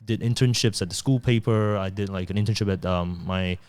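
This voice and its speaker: A middle-aged man from Singapore and Taiwan, a guest on a podcast, chatting in a relaxed, self-deprecating way. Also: lowish voice, fairly quick, clean tone, dry recording. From born in Taiwan, raised in Singapore. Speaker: podcast guest, digital marketer. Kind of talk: casual interview conversation.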